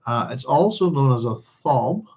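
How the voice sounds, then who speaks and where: A man's voice: mid-range voice, expressive, moderate pace, darkish tone, slightly rough, muffled recording. A man with a Northern Irish accent hosting an informal book club, talking through a novel in a rambling, scattered way and often thinking aloud. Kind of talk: informal read-along commentary on a novel.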